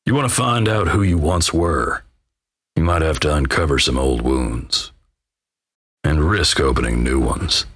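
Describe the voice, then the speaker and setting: A man playing a gruff, folksy, wry Old West narrator in a video game, with a gravelly American Western drawl and measured, ominous storytelling. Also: extremely low pitch, a bit bright, no room sound, studio quality, exaggerated voice. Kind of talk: dramatic second-person scripted game narration.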